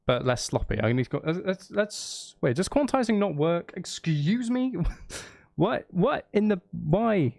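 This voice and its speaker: A man's voice: high-pitched, sing-song, unhurried, vocal fry, a little mellow. A man chatting casually on a music-making livestream, thinking aloud in a hesitant, self-interrupting and apologetic way. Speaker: streamer making music live. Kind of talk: casual livestream chat while recording drums. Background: drum kit and music.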